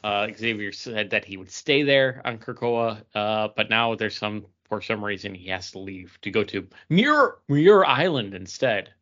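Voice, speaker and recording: A man's voice: fairly low pitch, lively intonation, a little slow, few pauses, fairly bright tone, lo-fi sound. A man talking casually about comics as a podcast co-host.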